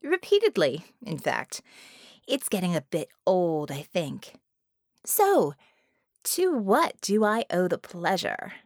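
A woman narrator acts out a cunning, bored villainess in an audiobook. She taunts in formal, theatrical phrases at a slow pace. Her pitch swoops around, and the sound is dry and close. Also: huge pitch swings, no room sound, heavily acted. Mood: wry, amused. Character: caged villainess, haughty, mocking, cunning and bored.

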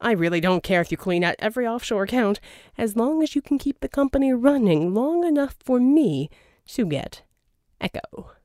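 A woman's voice: a little high, very animated, moderate pace, warm tone, dry recording, clean studio sound. Woman performing a flamboyant scheming male villain, wildly swooping. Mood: gleeful, coaxing. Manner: theatrical, wheedling, pompous. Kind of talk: audiobook character dialogue.

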